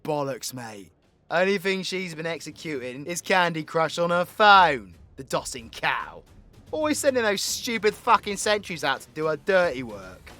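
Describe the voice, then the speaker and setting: A man's voice: on the high side, sing-song, unhurried, sharp tone, faint music behind, heavily acted. A British man playing a foul-mouthed, sardonic supervillain in an audio roleplay, mocking and full of casual slang. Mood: scornful. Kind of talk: audio roleplay drama acting.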